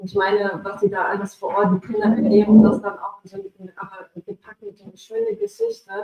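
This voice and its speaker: A woman's voice: mid-range voice, big pitch swings, brisk, highs cut off. A woman speaking enthusiastically at a live event with many fillers about voicing a children's story. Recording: echoey room, mic at a distance.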